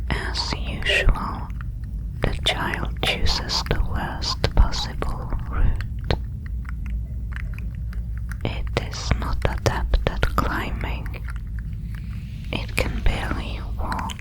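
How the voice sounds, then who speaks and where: Woman doing a curious lonely alien creature, asmr audio drama, all breathy whisper, super slow and solemn. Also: poor audio. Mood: curious, contemplative. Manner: slow, deliberate, solemn phrasing.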